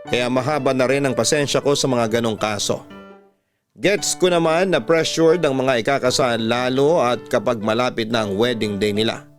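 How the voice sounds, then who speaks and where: A man's voice: medium pitch, moderate pace. A man with a Filipino accent narrating a scripted first-person story in radio drama style, with music behind him.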